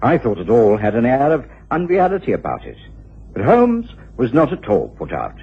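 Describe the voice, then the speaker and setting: An actor playing a genteel British detective's companion, narrating a radio mystery in a husky voice. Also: male voice, mid-range voice, wildly swooping pitch, normal speed, full character voice. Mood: wry, measured. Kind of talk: scripted first-person story narration in radio drama. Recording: muffled old radio recording.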